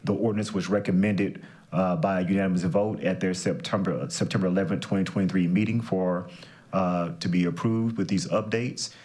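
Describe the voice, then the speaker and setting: Low-voiced guy giving a measured admin briefing, lots of uh's. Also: fairly even intonation, a little mellow. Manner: measured, with many "uh" fillers. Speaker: county administrator. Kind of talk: formal briefing to a committee.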